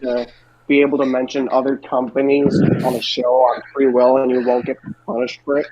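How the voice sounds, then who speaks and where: A man's voice: medium pitch, level tone, brisk, a little mellow, lo-fi sound, a little hiss or hum. A man talking casually as a panelist on a wrestling podcast.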